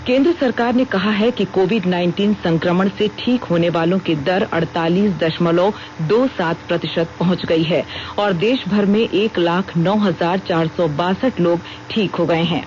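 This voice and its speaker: A woman's voice: on the low side, unhurried, a little mellow. Woman news reader, dull noisy radio. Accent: Indian. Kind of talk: formal scripted radio news bulletin. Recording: dull lo-fi radio broadcast with noise.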